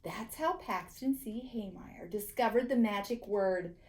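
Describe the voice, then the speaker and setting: A woman reading a children's picture book aloud with a theatrical, deliberate delivery. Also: medium pitch, darkish tone. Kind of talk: expressive children's story narration.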